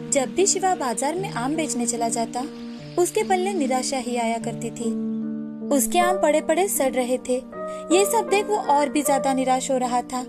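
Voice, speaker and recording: High-pitched woman storyteller over music. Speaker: narrator. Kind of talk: scripted storytelling narration of children's moral tales.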